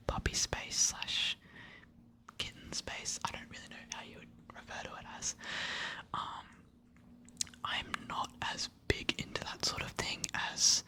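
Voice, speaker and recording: An ASMR creator whispering answers to subscriber questions in a soft, hesitant, apologetic way. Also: low-quality recording.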